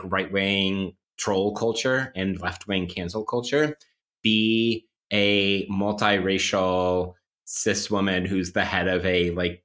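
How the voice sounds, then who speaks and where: A man's voice: sits low, fairly bright tone. A man talking casually and analytically on a podcast, wry and academic, circling around his points as he explains. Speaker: podcast co-host playing interview guest.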